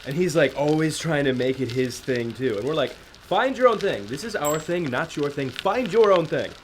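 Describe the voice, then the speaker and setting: Male actor as glum suburban horseman, animated intonation, hesitant deadpan grumbling, comedy audio drama, noisy ambience. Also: a little high, moderate pace, natural voice. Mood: sullen, defensive. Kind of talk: mockumentary audio comedy acting. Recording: clear background ambient noise.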